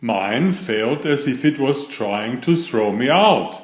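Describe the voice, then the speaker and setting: A man's voice: medium pitch, lively intonation, slow pace. A man voicing a polite, earnest young storybook bear in a courteous, matter-of-fact way. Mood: rueful. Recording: roomy, echoing sound.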